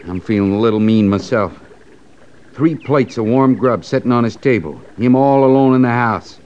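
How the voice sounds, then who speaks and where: A man playing a loyal cavalry sergeant with rough, folksy frontier talk in an old radio western. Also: medium pitch, moderate pace, darkish tone, lightly acted. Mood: glum, loyal. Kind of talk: 1940s-50s radio western acting. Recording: dull, noisy old radio recording.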